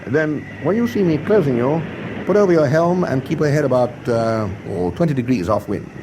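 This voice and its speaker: Brisk British naval captain, played by a man. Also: fairly high pitch, animated, noisy background, natural voice. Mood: steady, instructive. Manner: clipped, authoritative, courteous. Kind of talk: radio drama acting.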